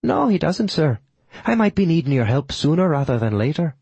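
A man's voice: on the high side, big pitch swings, a little fast, vocal fry, a little mellow, husky, dry recording, voice pushed up, heavily acted. A man voicing a wry, courteous shopkeeper hero in a put-on Irish accent. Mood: guarded, courteous.

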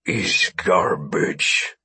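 A man voicing a volatile alien warrior captive who growls blunt, halting broken English in an audiobook. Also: low-pitched, bright, forceful tone, husky, no room sound, pitched below the performer's own voice, exaggerated voice. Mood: sullen disgust. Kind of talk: audiobook character voice.

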